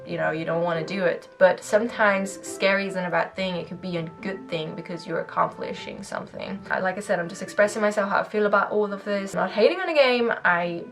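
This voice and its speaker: Casual woman YouTuber, rambling and candid, mid pitch, music faintly behind. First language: Swedish. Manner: rambling, candid, laughs between phrases. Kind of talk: casual vlog monologue.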